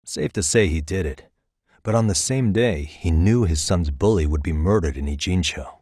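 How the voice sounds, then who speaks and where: A private investigator in a video game, composed and probing. His voice sits very low with a husky grain, and his delivery is measured, dryly confident and naturalistic. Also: darkish tone, no echo, studio quality. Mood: focused, analytical. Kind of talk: naturalistic game dialogue acting.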